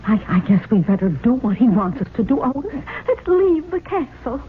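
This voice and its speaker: A woman's voice: a little high, sing-song, normal speed, slight background noise. An actress playing a nervous, easily alarmed mother in a radio drama. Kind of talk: 1970s radio drama acting. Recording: tinny old radio recording.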